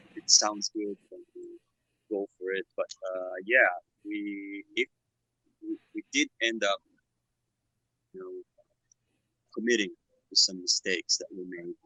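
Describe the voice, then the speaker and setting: A man speaking as a band guest, his words halting and restarting as he works through a thought. His voice is lowish, very forceful and husky, recorded dry and close. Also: no room sound, low-quality recording. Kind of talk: casual interview answers.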